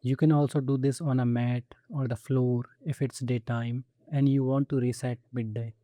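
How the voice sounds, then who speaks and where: Very dark, soft-toned man guiding meditation. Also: medium pitch, flat delivery, no room sound. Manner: soothing, gentle instruction with measured pauses. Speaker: meditation guide. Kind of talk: guided body scan meditation.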